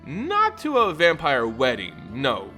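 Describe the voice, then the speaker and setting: Man acting calm, wise storybook character, gently amused. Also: fairly high pitch, takes it slowly, faint music behind, heavily acted.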